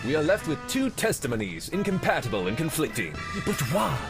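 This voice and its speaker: A man playing a pompous gentleman detective in a fan-dubbed fantasy game, speaking in grand, florid, theatrical phrases. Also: on the high side, sing-song, fairly quick, over music. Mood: musing. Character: pompous, flamboyant gentleman detective, self-styled inspector extraordinaire. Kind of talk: fan-dub video game acting.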